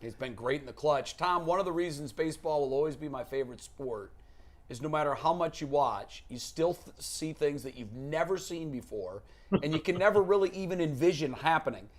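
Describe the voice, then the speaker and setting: A man's voice: a little high, expressive, fairly quick. An American man on a sports show panel, leading up to interview questions conversationally.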